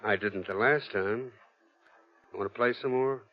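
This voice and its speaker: Actor as a wisecracking radio detective, muffled sound. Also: male voice, fairly low pitch, unhurried, close to an everyday voice. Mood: flirtatious, cocky. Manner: flirtatious, slangy, flippant. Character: wisecracking, cocky, easygoing male private detective. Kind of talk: old-time radio detective drama acting. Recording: muffled lo-fi old radio recording.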